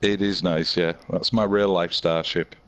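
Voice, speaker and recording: A hoarse man from England with a Yorkshire accent, chatting casually as an interview guest and laughing easily. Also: sits low, fairly even intonation, measured pace. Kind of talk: casual chat.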